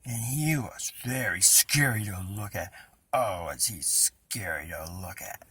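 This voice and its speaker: A man voicing a young storybook boy who speaks in a hushed, hesitant, drawn-out way, spooky and secretive. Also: on the low side, husky, dry recording, voice pushed down, heavily acted. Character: Reggie, young boy telling spooky bedtime stories, evasive.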